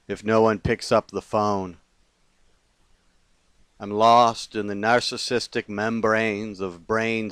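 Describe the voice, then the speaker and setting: Slow, low-voiced man reading poetry. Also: clear, resonant tone, no room sound. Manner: deliberate phrasing with pauses between short lines. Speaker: poet. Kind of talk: poetry reading of own confessional verse.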